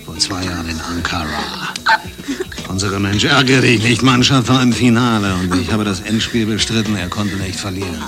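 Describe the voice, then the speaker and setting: Male actor as secret agent seducer, lowish voice, cool macho drawl, self-assured, satirical noir radio play, music behind. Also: moderate pace, lightly acted. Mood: relaxed, boastful.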